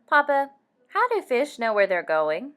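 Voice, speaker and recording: Woman as a curious girl, bright voice. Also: a little high, huge pitch swings, unhurried, clean tone, exaggerated voice. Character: curious, supportive young daughter narrating her father's inventions.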